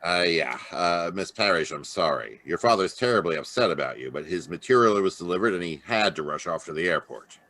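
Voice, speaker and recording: A man's voice: low voice, lively intonation, brisk, hardly any pauses, pushed and bright, dry recording. A man playing a blunt, exasperated police inspector in a live radio play over video call. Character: gruff puzzled male police inspector.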